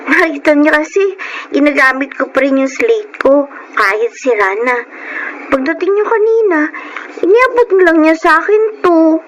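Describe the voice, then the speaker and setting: An actress playing a sweet, grateful young student in a Filipino radio drama, with a Filipino accent. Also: female voice, high-pitched, lively intonation, normal speed, a little hiss or hum, rough recording. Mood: eager, fond.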